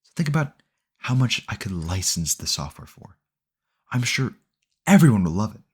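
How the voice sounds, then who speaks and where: Casting: male actor as yandere boyfriend for ASMR roleplay. Low voice, doting, possessive, rambling and earnest in self-justification. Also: sing-song, moderate pace, breathy edge. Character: obsessive, possessive yandere boyfriend, sweetly cheerful kidnapper. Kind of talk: ASMR audio roleplay addressed to the listener.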